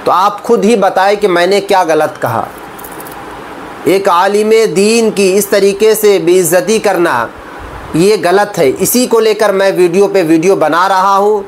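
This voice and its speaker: A man's voice: high voice, a little slow, a little hiss or hum. A man giving opinionated political news commentary in an emphatic, repetitive way, often asking rhetorical questions. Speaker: political commentary video creator.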